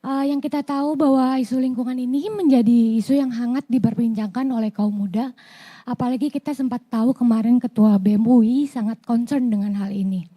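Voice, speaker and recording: A young woman with a somewhat high but very dark, mellow voice that sounds hoarse. She chairs a youth organization and puts a polite, formal question to a candidate. Her greeting and her question are both carefully courteous. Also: steady tone, fairly quick.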